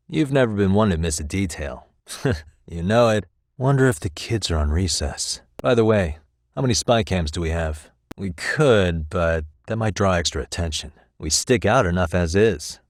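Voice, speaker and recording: A man's voice: sits low, no echo, clean studio sound. A man playing a wry, easygoing private detective in a video game, speaking in a casual, conversational way. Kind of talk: video game dialogue voice acting.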